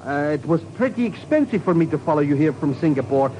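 An actor playing a shifty, wheedling petty informer as comic relief in a 1950s radio drama, ingratiating and excitable. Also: male voice, a little high, fast talker, lightly acted. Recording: faintly noisy vintage radio recording.

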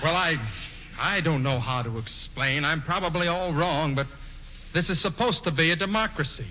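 A defiant young man talks back in a fairly high voice, hesitant and then quick. The recording is a dull vintage radio broadcast with a long reverb and a clear hiss. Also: bright, forceful tone. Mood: earnest. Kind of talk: 1940s radio drama acting.

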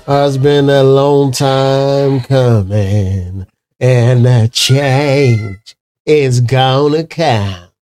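Slow-talking playful male podcast host. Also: medium pitch, steady tone, a little mellow, no echo, soft background music. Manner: playful, laughs often, breaks into song. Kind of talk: casual chat.

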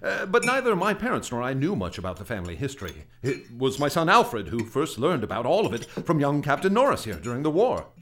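An actor playing a genial older American heir in a radio drama, informal and hesitant, with an American accent. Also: male voice, medium pitch, wildly swooping pitch, fairly quick, few pauses, fairly bright tone, a little hiss or hum. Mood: affable.

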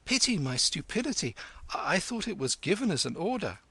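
A man voicing a cunning young street boy who flatters and wheedles. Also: sits high, brisk, breathy edge, no echo, pitched above the performer's own voice, exaggerated voice. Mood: wheedling.

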